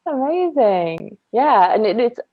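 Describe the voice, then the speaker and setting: A woman's voice: on the high side, sing-song, takes it slowly, no room sound, narrow, tinny audio. A woman hosting a casual podcast interview, speaking in an enthusiastic, rambling way. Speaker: podcast host.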